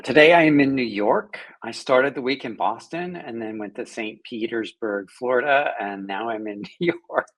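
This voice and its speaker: A woman co-hosting a podcast, her voice raspy and tired. She laughs easily and speaks hesitantly, with restarts. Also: a bass voice, expressive, normal speed, hardly any pauses. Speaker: podcast co-host. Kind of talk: conversational podcast talk.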